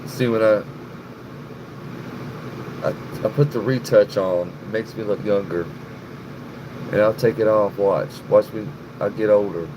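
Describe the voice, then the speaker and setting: A man's voice: on the low side, a little slow, long pauses. A middle-aged man hosting a casual livestream, rambling and cursing freely as he answers viewers in the chat by name. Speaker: livestream host. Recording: noticeable background noise, air conditioning hum.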